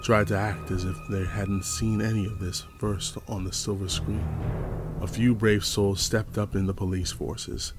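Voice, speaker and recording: A man delivering dramatic scripted narration for a dystopian fantasy, solemn and measured, with deliberate phrasing. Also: fairly low pitch. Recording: music behind the voice. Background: background music.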